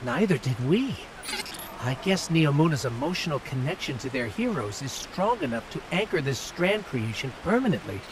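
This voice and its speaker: Casting: level-headed game ally, expressive pitch, tactful, with clear background noise. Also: female voice, moderate pace. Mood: measured, tactful. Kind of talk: video game voice acting.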